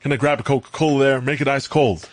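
A man's voice: medium pitch, fairly even intonation, quick pace, dry recording, voice pushed up, lightly acted. South African man faking a brash American guy. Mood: swaggering. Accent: put-on American over a South African base. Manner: loud, playful, clichéd catchphrases. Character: brash American man putting on a street-smart drawl.

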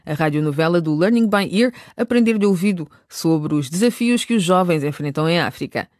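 A woman's voice: on the low side, lively intonation, fairly quick, a little mellow, no room sound. A woman narrating a radio drama from a script, close on the microphone.

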